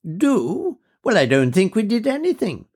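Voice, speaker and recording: A man voicing an elderly officer's widow, chatty and cheerful, in a high fluttery voice, for a spoken story. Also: wildly swooping pitch, a little slow, a little mellow, husky, heavily acted. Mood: reminiscing.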